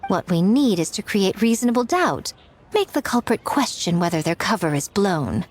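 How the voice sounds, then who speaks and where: A woman playing a sly, poised shrine priestess in an anime game, speaking in a smooth, deliberate, theatrical way. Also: mid-range voice, big pitch swings, faint music behind. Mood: sly, calculating.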